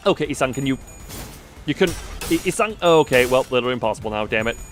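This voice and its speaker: A man's voice: medium pitch, expressive, fast. Wry male game streamer over music. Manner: wry, sarcastic, playful exclamations. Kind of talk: live gameplay commentary, reading dialogue aloud.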